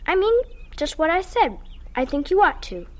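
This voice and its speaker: Flustered farm girl, very high childlike voice, sincere, radio drama. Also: big pitch swings, moderate pace. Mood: earnest. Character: earnest, flustered farm girl, youngest daughter.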